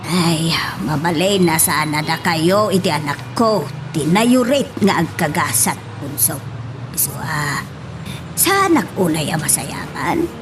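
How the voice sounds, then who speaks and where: A woman's voice: deep voice, expressive, music in the background. A woman with an Ilocano accent playing a fond, pleading older mother-in-law in an audio drama. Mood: reproachful. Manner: emotional, drawn-out pleading. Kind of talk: Ilocano radio-style drama acting.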